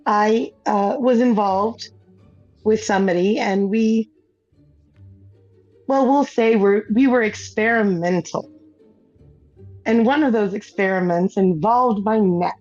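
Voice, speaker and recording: Slow, rambling woman streamer, dull dry sound. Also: medium pitch, a little mellow, no room sound. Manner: rambling storyteller, laughs often, restarts sentences. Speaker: streamer and show host. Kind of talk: casual chat while gaming.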